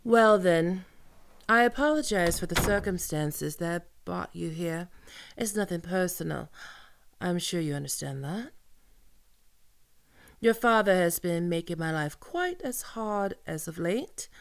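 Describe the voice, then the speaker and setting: A woman playing a commanding, mocking and seductive female pirate captain in an audio roleplay, with theatrical, teasing, drawn-out menace. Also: fairly low pitch, expressive, vocal fry. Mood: proud, mocking. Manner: theatrical, teasing, drawn-out menacing delivery with archaic turns of phrase. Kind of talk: ASMR roleplay acting.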